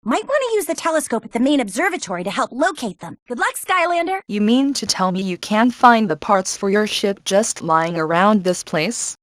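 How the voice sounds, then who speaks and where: A woman's voice: a little high, sing-song, moderate pace, few pauses, no room sound. A brave adventurer woman from a video game, who guides heroes with upbeat confidence. She is sassy and slips in wry asides. The sound is clean and dry, with no room around the voice. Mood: urgent, determined, then wry, exasperated. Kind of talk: video game character voice lines.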